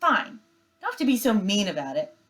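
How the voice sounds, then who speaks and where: A woman reading aloud as a bossy young sister bear from a children's storybook. She is sarcastic and snappish, mocking others in a lively, swinging voice. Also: medium pitch, brisk, voice pushed up. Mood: annoyed.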